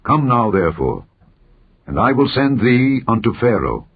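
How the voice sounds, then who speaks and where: A man's voice: mid-range voice, very animated, a little slow, husky, voice pushed up, full character voice. A man reading the part of a majestic, commanding yet compassionate deity in a grand, declamatory scripture style. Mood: solemn compassion. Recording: muffled phone-like narrow-band audio.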